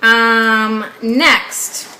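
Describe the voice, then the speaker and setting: A woman's voice: on the high side, little pitch movement, very slow, sharp tone. A woman in her twenties from the Southern US, with a Southern accent and English as her second language, chatting casually in a rambling, upbeat way to viewers. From Southern United States. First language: not English. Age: 20s. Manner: rambling, upbeat, often asks viewers to guess. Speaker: beauty vlogger. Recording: echoey room with faint background noise.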